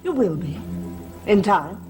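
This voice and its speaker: A woman's voice: mid-range voice, very animated, slow, a little mellow. Scheming devoted servant lady, solemn n formal, old gothic soap, music underneath. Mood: intense pleading. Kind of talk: 1960s gothic soap opera acting. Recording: old TV soundtrack with background score.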